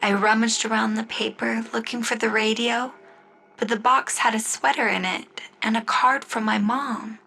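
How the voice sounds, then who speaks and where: A woman narrating and announcing in the style of a 1940s radio drama, with measured storytelling. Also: on the high side, fairly even intonation, creaky, sharp tone, slight background noise, rough recording. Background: music score.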